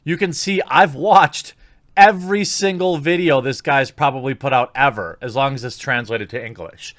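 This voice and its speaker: A man's voice: mid-range voice, moderate pace, a bit bright, dry recording. Man giving critical commentary, dry close sound. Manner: critical, sarcastic. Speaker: YouTube commentator. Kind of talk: casual opinion commentary.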